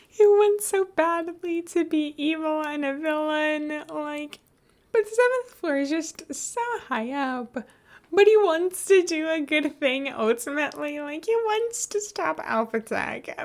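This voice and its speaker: A woman giving casual, unscripted commentary in an enthusiastic fan-chat manner. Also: high-pitched.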